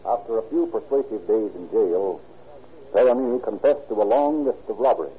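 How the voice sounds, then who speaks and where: A man's voice: lowish voice, normal speed. A man narrating and announcing in the formal, declamatory, scripted style of 1930s radio. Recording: tinny, slightly noisy old radio recording.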